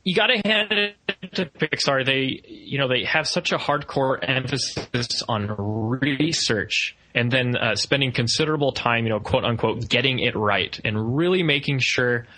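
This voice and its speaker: Bright-voiced man reviewing films. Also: medium pitch, moderate pace, hardly any pauses, poor audio. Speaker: podcast co-host reviewing a film. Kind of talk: casual opinionated review discussion.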